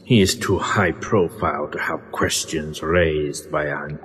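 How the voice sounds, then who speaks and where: A man's voice: extremely low pitch, measured pace, fairly bright tone. A man playing an imperious, hungry undead vampire prince, menacing and grandiose, in a horror audio drama. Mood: scornful. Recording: long reverb, noticeable noise.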